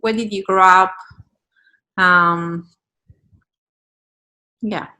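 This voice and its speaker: A woman's voice: medium pitch, fairly even intonation, very slow, pushed and bright, rough recording. A woman hosting a casual interview, phrasing her introductions and questions loosely and with some hesitation. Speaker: podcast host, interviewer.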